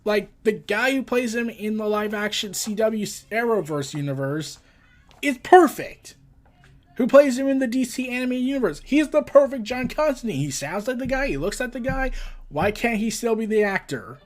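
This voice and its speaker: A man with a high-pitched voice hosts a casual news show. He moves between reading out reports and giving his own opinions. His manner is blunt and exasperated, in the loose style of an online commentator. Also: expressive, normal speed. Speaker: host of a pop culture and wrestling news show.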